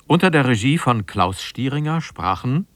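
A man's voice: medium pitch, lively intonation, normal speed, a bit bright, no echo. A man working as a radio announcer, reading a scripted broadcast announcement in a studio.

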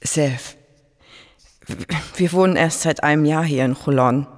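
An actress playing a hesitant, unsure neighbour giving a tentative witness account in a radio drama. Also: female voice, deep voice, measured pace. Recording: long reverberant room.

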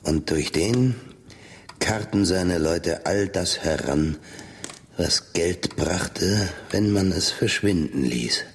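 An actor in a German radio play as a middle-aged researcher, dry, sardonic and measured in his delivery. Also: low-pitched, expressive, breathy edge, full character voice. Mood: reflective, matter-of-fact. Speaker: narrator and lead character of a radio play. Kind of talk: German radio drama acting, first-person narration.

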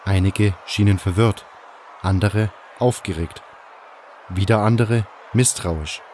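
Male narrator for a fantasy radio play: lowish voice, storytelling in first person, faint ambient noise underneath. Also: slow pace, a little mellow. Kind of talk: scripted audio drama narration.

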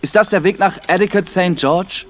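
An actor playing a polite, mild priest travelling alone in a German radio drama. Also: male voice, sits high, normal speed, slight background noise, natural voice. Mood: earnest. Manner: courteous, formal, mild. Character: polite young-to-middle-aged male priest travelling alone.

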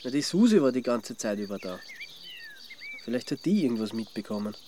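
An actor playing a grumpy, reluctant holidaymaker turned sleuth in a radio play, colloquial, with an Austrian accent. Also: male voice, mid-range voice, sing-song, fairly quick, mellow, slight background noise, close to an everyday voice. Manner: grumbling, colloquial. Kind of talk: naturalistic radio play dialogue.

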